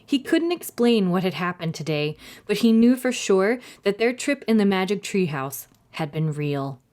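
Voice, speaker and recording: A woman's voice: medium pitch, big pitch swings, normal speed, darkish tone. A woman hosting a children's storytime, narrating expressively and addressing young listeners directly. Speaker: library storytime host and reader.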